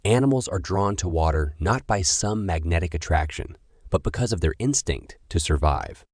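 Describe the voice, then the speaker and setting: A man reads a prepared passage aloud in a notably low voice. The sound is dry, with very little room around it, in the manner of exam reading practice. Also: expressive, normal speed, a little mellow, no room sound. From India. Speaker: language test practice reader. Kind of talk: read-aloud of scripted passages.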